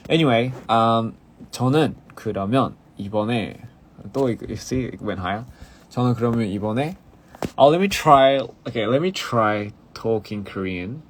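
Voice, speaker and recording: A young male musician chatting casually on a phone livestream, halting and searching for words with frequent restarts. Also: mid-range voice, lively intonation, slight background noise. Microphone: phone livestream mic.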